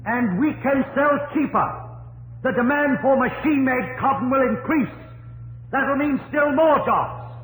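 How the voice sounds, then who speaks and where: An actor playing an earnest, determined industrial pioneer and devoted husband in a 1950s radio drama. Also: male voice, extremely high pitch, measured pace, long pauses, fairly bright tone, breathy edge, faint music behind, full character voice, poor audio. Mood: forceful, persuading. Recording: old radio broadcast with faint hum.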